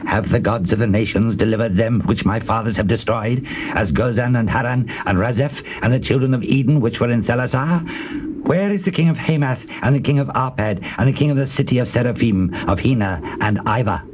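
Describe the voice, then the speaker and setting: An actor playing a boastful, threatening envoy of a conqueror, haughty and declamatory, in scripture drama. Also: male voice, mid-range voice, a little fast, natural voice. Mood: haughty menace. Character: boastful, threatening male Assyrian conqueror's message-bearer. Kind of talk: dramatized scripture reading, classical stage delivery. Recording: noisy old cassette tape.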